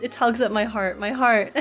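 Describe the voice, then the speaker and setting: A young woman chatting casually as she livestreams a game, with a giggly, slangy manner. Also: a little high. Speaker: live streamer.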